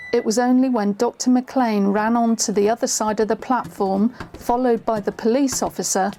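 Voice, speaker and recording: Woman acting as narrator lady, soft mellow tone, a bit high, suspenseful storytelling, faint noise. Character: female storyteller recalling a tense witnessed drama. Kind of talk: scripted first-person drama narration.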